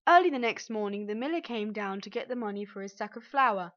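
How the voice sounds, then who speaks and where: A woman's voice: medium pitch, expressive, fairly quick, a bit bright. A woman narrating a scripted children's story as its reader. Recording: dull, band-limited audio.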